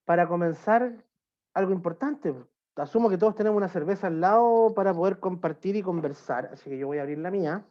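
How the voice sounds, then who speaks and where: A man with a Chilean accent giving an online talk on beer as a sommelier, relaxed and friendly with frequent hesitations. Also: high voice, a little fast. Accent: Chilean Spanish. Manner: relaxed, friendly, lots of filler "eh". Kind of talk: online presentation, chatty lecture. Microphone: computer mic on a video call. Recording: dull lo-fi video call audio.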